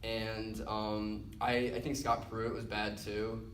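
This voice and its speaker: A man's voice: fairly low pitch, flat delivery, slow pace, fairly bright tone. A young male student answering an interview casually, rambling with many fillers. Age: young adult. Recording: roomy echo, mic at a distance, faint hiss.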